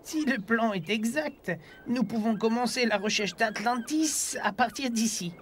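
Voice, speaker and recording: A man's voice: very high voice, measured pace, fairly bright tone, a little hiss or hum. A man as a reassuring old cartoon grandfather. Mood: determined. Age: older. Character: kindly old grandfather, seasoned boat captain. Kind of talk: dubbed low-budget cartoon dialogue. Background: music.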